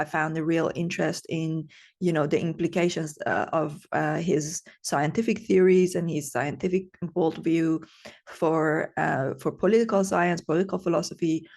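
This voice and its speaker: Gravelly-edged woman from Iran. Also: deep voice, darkish tone. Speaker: guest, tech founder. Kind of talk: casual interview answers.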